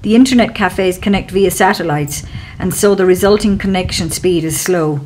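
Calm woman narrating a travel guide. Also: fairly low pitch, slight background noise, rough recording. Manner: calm, informative, measured. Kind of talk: scripted travel documentary narration.

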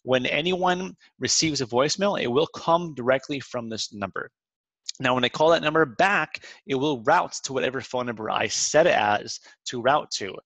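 A man presenting a software walkthrough in an informal, step-by-step instructional way. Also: medium pitch, lively intonation, moderate pace, no room sound. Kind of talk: walkthrough narration of a screen demo, semi-scripted. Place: close dry voice-over for a screen recording.

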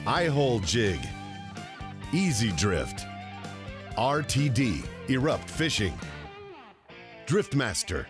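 A man reading a scripted list of sponsor thanks in a slow, measured way. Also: medium pitch. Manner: slow, measured, lively intonation. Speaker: announcer reading sponsor credits. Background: clear guitar music.

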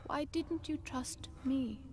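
A woman's voice: sits high, takes it slowly, dark tone, a little hiss or hum. A woman playing a proud, brave young princess with a tender side in a video game, speaking in an earnest, emotional way. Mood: accusing, hurt. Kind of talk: video game cutscene acting.

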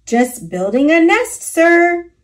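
A woman's voice: extremely high pitch, sing-song, slow pace, pitched above the performer's own voice, heavily acted. A woman voicing a cheeky, pushy male bird in a children's storybook, speaking in a chirpy, emphatic way. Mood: breezy. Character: cheeky cheerful male bird, a brash freeloader.

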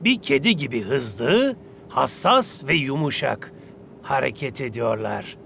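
Creaky, suave cartoon ladies' man. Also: mid-range voice, big pitch swings, a little slow, a bit bright, slight background noise, full character voice. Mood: smug. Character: suave boastful male footballer, self-styled ladies' man. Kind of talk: animated series dub.

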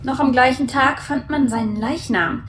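A woman playing a curious, calm artificial young woman who speaks in a thoughtful way in an audio drama. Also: sits high, moderate pace, roomy sound, slight background noise.

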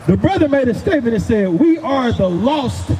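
Male street preacher, very high pitch, insistent and repetitive delivery, noisy open-air recording. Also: animated, brisk, in a large hall. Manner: emphatic, repeats phrases, commands a reader. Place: outdoors on a grassy housing-project lawn. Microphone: handheld microphone through a portable speaker.

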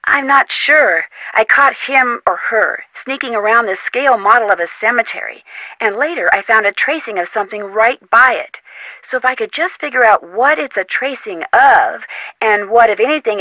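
A woman's voice: on the high side, normal speed, sharp tone, dry recording, muffled recording. An actress voicing a curious, determined young female amateur detective in a video game, asking polite, probing questions in an upbeat manner. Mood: inquisitive. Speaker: game character voice. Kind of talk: voiced game dialogue. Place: recording studio.

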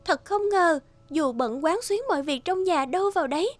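A woman voicing a gentle, devoted young noblewoman in a folk-tale cartoon, emotional and soft-hearted. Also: very high voice, expressive, moderate pace. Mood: admiring, gentle. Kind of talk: animated folk-tale dialogue.

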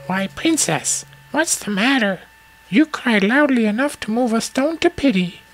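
A woman voicing a small male talking frog in a storybook tale, creaky and pleading, with drawn-out delivery. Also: a little high, lively intonation, soft background music, rough recording. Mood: concerned, helpful. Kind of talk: acted storybook dialogue.

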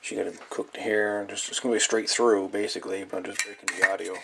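Low, gravelly man at a workbench. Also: little pitch movement, quick pace. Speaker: hobbyist radio video maker. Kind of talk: casual hands-on workshop demonstration. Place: indoors at a workbench. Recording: light background noise, clicks of handled parts.

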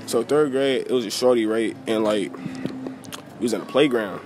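A man's voice: medium pitch, normal speed, over music. A young man hesitantly telling a story in a mall, rambling with lots of fillers. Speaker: interviewee. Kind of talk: casual chat.

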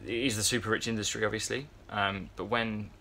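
Brit guy in his 20s vlogging on a boat, low monotone, lots of ums, faint hum behind. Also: a little fast, creaky. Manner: rambling, many fillers and restarts. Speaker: yacht crew vlogger. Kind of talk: casual vlog chat to camera. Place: yacht crew area, indoors, fan nearby. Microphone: phone camera at arm's length.

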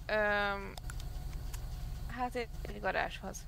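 A woman's voice: mid-range voice, fairly even intonation. A woman in casual improvised game roleplay, speaking in a hesitant way. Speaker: player in online roleplay. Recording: clear vehicle noise behind.

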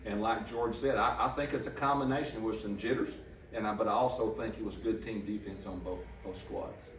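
A man's voice: lowish voice, steady tone, a little fast, a little hiss or hum. An older man, a head coach answering press questions after a game in a plainspoken, emphatic way, seated at a table mic. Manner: plainspoken, emphatic, repeats for stress. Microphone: table mic on stand, camera some distance off. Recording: roomy, slightly distant mic pickup.

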